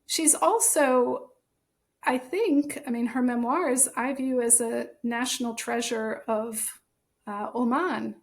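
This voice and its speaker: Woman, American accent, podcast guest, measured and thoughtful, gravelly edge. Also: on the high side. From born in Germany, raised in the United States. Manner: thoughtful, measured, with occasional soft laughs. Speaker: guest, author and translator. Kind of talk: podcast interview.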